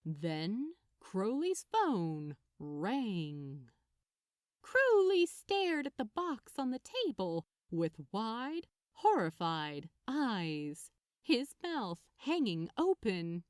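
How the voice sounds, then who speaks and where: A woman reading a story aloud as narrator, in an expressive scripted style. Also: mid-range voice, very slow, no room sound, clean studio sound. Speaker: narrator, fan fiction reader.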